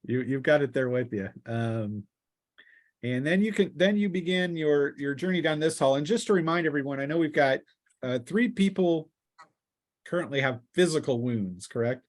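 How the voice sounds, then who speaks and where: Man running a tabletop game, halting delivery. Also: on the high side, expressive. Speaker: game master. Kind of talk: narrating a tabletop role-playing game, improvised.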